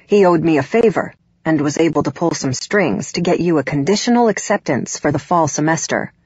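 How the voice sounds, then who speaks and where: A woman voicing a stern, controlling middle-aged father in an audiobook, with a husky voice. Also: sits low, expressive, darkish tone, no room sound, lo-fi sound. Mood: matter-of-fact. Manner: stern, measured, disapproving.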